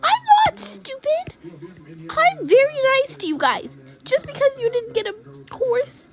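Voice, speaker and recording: A woman voicing an indignant, hurt young girl who protests shrilly and rapidly in a pretend-play squabble. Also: high-pitched, animated.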